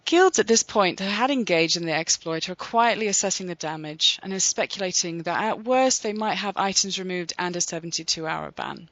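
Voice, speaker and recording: A podcast host reading a scripted recap in a steady, measured way, then introducing guests. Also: medium pitch, no room sound, dull audio.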